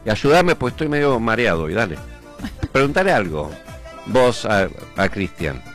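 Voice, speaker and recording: A man's voice: on the low side, sing-song, moderate pace, fairly bright tone. A man with an Argentine accent hosting a casual, bantering chat, teasing and prodding, mixing Spanish and Italian. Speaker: radio show host. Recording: music playing behind the voice. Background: music.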